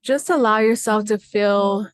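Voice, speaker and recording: A woman teaching an herbalism class in a relaxed, chatty way, then leading a guided meditation. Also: medium pitch, unhurried. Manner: relaxed, chatty, laughs easily.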